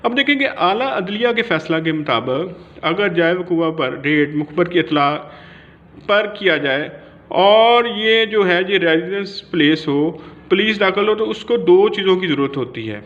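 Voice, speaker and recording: A man with a Pakistani accent giving an informal explanatory law lecture that mixes in English legal terms, in a halting, repetitive way. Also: high voice, expressive, fairly bright tone. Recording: dull, lo-fi audio.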